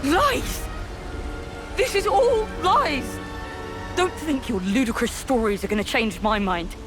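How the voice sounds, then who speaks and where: A woman's voice: very high-pitched, heavily acted. An actress playing a bitter, defiant young woman, a devoted believer, with vehement emotion in a video game drama. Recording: voice over loud music.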